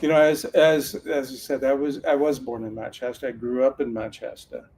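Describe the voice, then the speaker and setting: A man's voice: mid-range voice, little pitch movement, moderate pace, a little mellow, husky. Older man, retired lawman turned card reader: earnest, folksy storyteller, mid-range voice. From Northern California. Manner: earnest, folksy, with frequent restarts. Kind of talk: casual storytelling talk.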